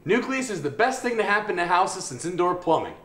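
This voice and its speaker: A man's voice: high voice, very animated, fairly quick, bright, forceful tone, slight background noise. A man in his thirties doing an upbeat, scripted comedic product presentation in a salesman's delivery. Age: 30s.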